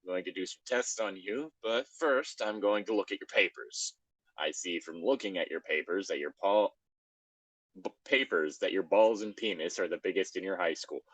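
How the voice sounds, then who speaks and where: A man's voice: low-pitched, moderate pace, a bit bright, slightly rough, no echo, poor audio. A man reading a story aloud and bantering casually, in an amused, exasperated way, stumbling now and then. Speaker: podcast co-host reading a fan fiction aloud.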